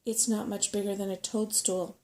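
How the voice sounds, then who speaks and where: A woman's voice: medium pitch, little pitch movement, normal speed, warm tone, lightly acted. A woman voicing a small, bossy, grumbling female brownie with an urgent, scolding manner in a storybook. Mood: horrified, grumbling.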